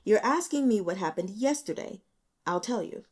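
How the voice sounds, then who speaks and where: A woman's voice: mid-range voice, expressive, moderate pace, dark tone, natural voice. Woman reading as a stern, probing male police investigator. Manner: insistent, pointed questioning. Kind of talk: audiobook character dialogue.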